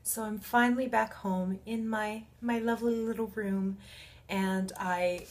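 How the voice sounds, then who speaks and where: A woman in her twenties chatting casually to camera in a halting, informal, self-correcting way, as a book vlogger. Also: medium pitch, steady tone. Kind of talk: casual vlog chat. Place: small room at home.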